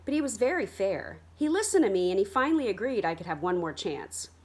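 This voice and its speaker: A woman's voice: medium pitch, very animated, a little fast, darkish tone, breathy edge. A woman voicing a spirited, headstrong teenage girl who pleads earnestly, reading from a novel. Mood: earnest pleading.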